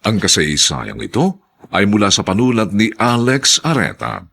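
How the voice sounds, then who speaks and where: A Filipino man announcing a radio drama from a script in a husky, gravelly voice, deliberate and theatrical. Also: on the low side, sing-song, a bit bright.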